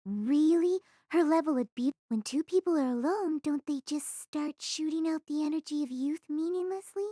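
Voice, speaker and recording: A woman's voice: high-pitched, normal speed, dark tone, no room sound, clean studio sound, natural voice. A woman doing a nosy teasing schoolgirl. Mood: teasing, prying. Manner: teasing, prying questions. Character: nosy gossiping schoolgirl, relentless prier.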